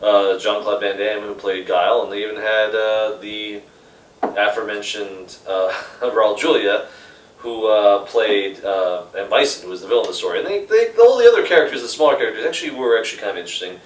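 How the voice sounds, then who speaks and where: A man chatting and debating casually as a co-host, with a halting, rambling, sweary fan's enthusiasm. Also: fairly low pitch. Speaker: co-host of a movie ranking show. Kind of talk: casual chat and debate among friends. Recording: echoey room, distant from the mic.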